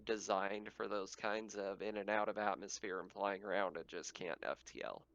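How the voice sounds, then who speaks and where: Low, creaky-voiced male game master. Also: moderate pace, fairly bright tone, lo-fi sound. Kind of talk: improvised narration of a tabletop roleplaying game.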